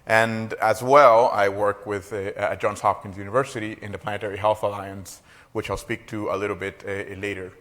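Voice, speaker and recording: Low-voiced man lecturing. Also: flat delivery, moderate pace, fairly bright tone. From Costa Rica. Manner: hesitant, filler-heavy, joking. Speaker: keynote speaker. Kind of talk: academic lecture. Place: large lecture hall. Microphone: lapel mic. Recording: long reverb in a lecture hall.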